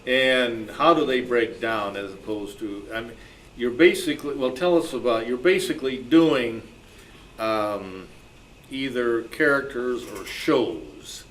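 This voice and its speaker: A man moderating an informal live interview, haltingly rephrasing his questions as he goes. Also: medium pitch. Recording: distant mic, noisy meeting room.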